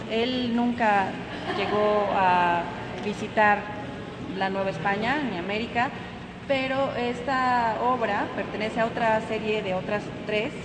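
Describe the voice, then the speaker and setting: A woman with a Mexican Spanish accent speaks about paintings in a museum, thinking aloud with hesitations and tag questions. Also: mid-range voice. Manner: thoughtful, hesitant, ends phrases with tag questions. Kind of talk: gallery talk. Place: large echoing museum hall. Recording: echoey hall, loud background noise.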